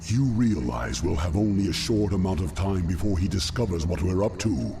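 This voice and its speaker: Game villain role: contemptuous dreadlord, gravelly bass, formal and sly. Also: male voice, animated, normal speed, noisy background. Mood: scheming. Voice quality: deep, creaky. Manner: sardonic, formal. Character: scheming male demon dreadlord, disdainful, silky servant. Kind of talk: video game cutscene acting. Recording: game audio under clear music.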